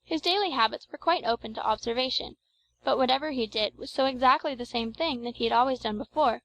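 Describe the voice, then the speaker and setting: A woman's voice: high-pitched, quick pace, fairly bright tone, low-quality recording. A woman reading classic literary narration as the narrator of an audiobook.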